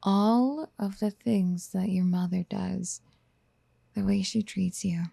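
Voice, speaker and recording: Woman playing a clingy motherly type for asmr roleplay, soft breathy half whispers, slow, close dry sound, soothing and doting. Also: medium pitch, steady tone, dry recording, exaggerated voice. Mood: gentle encouragement. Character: doting, possessive motherly woman comforting someone younger.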